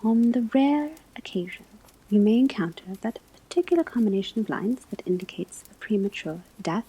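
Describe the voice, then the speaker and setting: A woman performing an ASMR classroom roleplay as a calm, formal witch school teacher, speaking softly and often dropping into a whisper. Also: medium pitch, slight background noise, poor audio. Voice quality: soft, breathy, often whispered. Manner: measured, formal, precise phrasing. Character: calm, kindly but strict witch school divination teacher.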